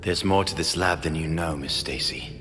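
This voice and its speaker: A man acting as a grave, guilt-ridden but resolute scientist with a gravelly voice in a video game cutscene. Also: a bass voice, normal speed, noisy background. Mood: grave, urgent. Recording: long hall reverb under loud music. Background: loud music.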